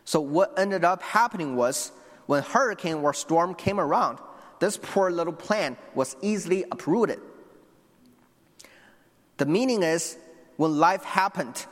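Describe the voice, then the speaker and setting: Young preacher in a reverberant hall. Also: male voice, on the high side, fairly bright tone. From China. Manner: earnest, with restarts and asides to the congregation. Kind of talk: sermon.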